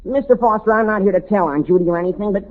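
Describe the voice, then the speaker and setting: A man playing an earnest, pompous teenage boy suitor in 1940s radio comedy, speaking haltingly. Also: high-pitched, narrow, tinny audio, natural voice. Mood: anxious earnest. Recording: thin old radio recording.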